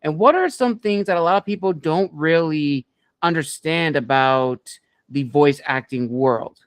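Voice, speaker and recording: A man's voice: a little high, unhurried, smooth, no echo. A man hosting an interview show, speaking conversationally as he leads into questions for his guest.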